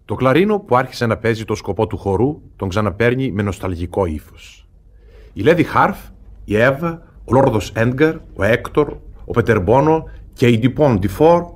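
A male narrator with a fairly low voice reads scene directions for a radio drama. His delivery is scripted narration.

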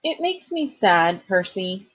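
A woman voicing an earnest, worried young male satyr in a storybook reading, with a husky voice. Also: sits high, lively intonation, measured pace, dull audio, pitched above the performer's own voice, full character voice. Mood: sad. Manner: earnest, plaintive, emphatic.

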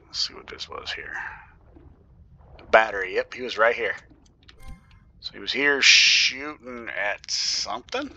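Man, casual gameplay commentary, thinking aloud, sharp bright voice. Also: lowish voice. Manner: thinking aloud, often dropping to a whisper. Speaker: gaming YouTuber.

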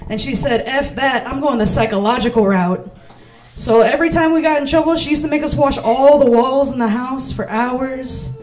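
High-voiced woman hosting stand-up. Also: rough recording. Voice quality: playful, self-mocking. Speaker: comedy host. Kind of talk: stand-up comedy. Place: small comedy club stage. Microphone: handheld mic.

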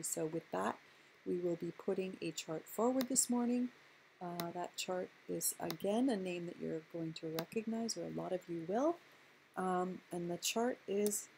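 A woman hosting a screen-recorded stock chart walkthrough, explaining in a measured way with frequent ums and uhs. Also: on the low side, warm tone, slight background noise, rough recording. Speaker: stock chart analyst host. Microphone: voice-over on screen recording.

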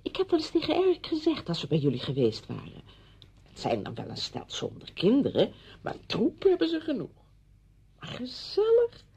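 An actress playing a chatty, meddling woman visiting an old friend in a Dutch radio play, brisk and insistent in manner. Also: sits high, huge pitch swings, mellow, full character voice. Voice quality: husky, creaky.

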